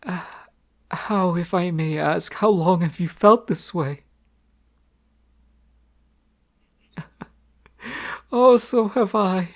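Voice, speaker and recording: An actor playing a shy, sensitive young crown prince in a romantic audio roleplay, speaking softly and haltingly. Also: male voice, high voice. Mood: joyful. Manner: soft, hesitant, halting.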